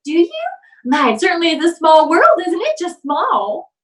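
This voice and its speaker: High, echoey, scatterbrained chatterbox. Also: female voice, sing-song, moderate pace. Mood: gushing. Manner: rambling, repetitive, effusive. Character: scatterbrained, gushing, chatty female busybody. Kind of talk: 1940s radio comedy acting. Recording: roomy, distant microphone.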